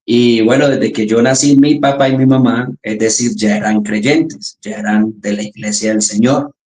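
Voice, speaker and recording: A man's voice: fairly low pitch, fairly even intonation, normal speed, a little mellow. A young Colombian man with a Colombian accent, earnestly telling his church testimony with frequent restarts. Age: young adult. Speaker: church chaplain giving testimony. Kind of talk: testimony interview. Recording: roomy echo.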